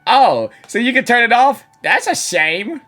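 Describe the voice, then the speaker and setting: A woman game streamer giving casual, rambling, excitable live reactions. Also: a little high, measured pace. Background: game music.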